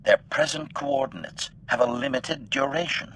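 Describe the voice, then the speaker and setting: An actor voicing a cold, bloodthirsty but loyal assassin droid in a video game, speaking formally and deadpan through a robotic filter. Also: male voice, medium pitch, moderate pace. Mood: cold, efficient. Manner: formal, clipped, announces each statement with a label. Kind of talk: scripted video game acting. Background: background music.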